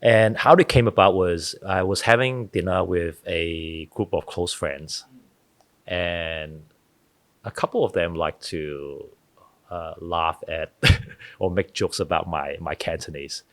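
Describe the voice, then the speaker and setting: Deep-voiced man, dry sound. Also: fairly even intonation, slow, a bit bright, dry recording. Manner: earnest, storytelling, frequent restarts. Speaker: podcast guest, author. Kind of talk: casual interview talk.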